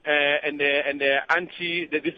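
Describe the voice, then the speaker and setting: A man with a South African accent calling a radio show, giving his opinion in a halting way and repeating words as he goes. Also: on the high side, flat delivery. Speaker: phone-in caller. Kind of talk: conversational opinion. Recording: dull band-limited call-in line.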